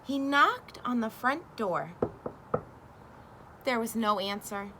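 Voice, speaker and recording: A slow, expressive woman reading aloud. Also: a little high, noisy background. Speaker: teacher. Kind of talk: children's read-aloud.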